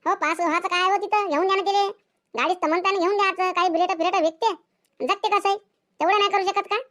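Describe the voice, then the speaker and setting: A performer voicing an indulgent, quarrelsome village mother in a comedic cartoon, sharp-tongued, with a rural Maharashtrian Marathi accent. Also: extremely high pitch, fairly even intonation, fast, no echo, clean studio sound. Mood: scolding. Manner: scolding husband, coddling son. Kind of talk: comedic cartoon skit dialogue.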